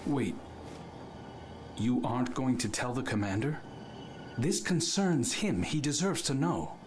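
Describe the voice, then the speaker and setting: A man's voice: medium pitch, animated, unhurried, darkish tone, a little hiss or hum, close to an everyday voice. A man playing an earnest, sardonic agent in a game cutscene, frank and conversational. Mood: concerned, wry. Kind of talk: scripted game cutscene acting.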